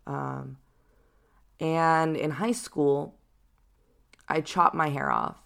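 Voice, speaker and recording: A young adult woman with a deep voice, hosting a personal reflective podcast in a casual, rambling way with lots of fillers. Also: fairly even intonation, extremely slow, vocal fry, fairly bright tone. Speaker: podcast host.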